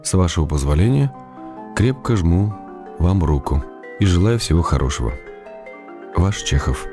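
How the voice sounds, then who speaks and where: Radio play role: courteous, teasing male writer, low register, measured letter reading over prominent music. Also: expressive. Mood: teasing, wry. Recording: radio drama with clear music behind.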